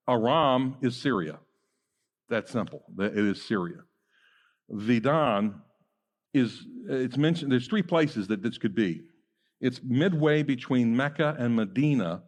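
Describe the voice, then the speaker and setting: A middle-aged man preaching a teaching sermon as a pastor, talking conversationally with many fillers and quick asides. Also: mid-range voice. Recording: long hall reverb.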